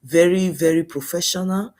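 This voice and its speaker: A woman's voice: fairly low pitch, fairly even intonation, normal speed, darkish tone. A woman with a Nigerian accent hosting a casual commentary channel, chatty and mixing in pidgin.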